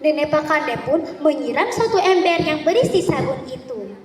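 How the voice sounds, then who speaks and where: A woman narrating a folk tale theatrically as a contestant, deliberate and with dramatic pauses. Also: sits high, big pitch swings. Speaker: storytelling contestant. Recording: echoey hall, distant microphone.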